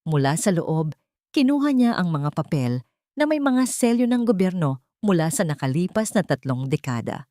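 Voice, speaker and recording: Low, dramatic female storyteller with swooping intonation. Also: sing-song, moderate pace, dark tone, dry recording, clean studio sound. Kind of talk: dramatic scripted narration of an audio story.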